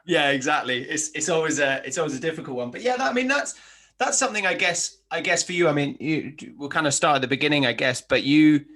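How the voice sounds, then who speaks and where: A man with a bright, forceful voice pitched a little high, hosting a podcast interview. He chats informally and with enthusiasm, like he is talking to a mate. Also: quick pace. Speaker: podcast host. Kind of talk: casual interview chat.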